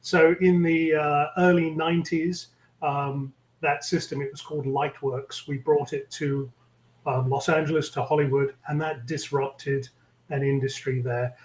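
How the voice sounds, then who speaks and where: Middle aged london guy on a video call, kinda high voice, echoey room, lots of ums. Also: flat delivery. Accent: London English. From England. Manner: measured, many ums and uhs. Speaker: guest, tech executive. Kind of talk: interview conversation.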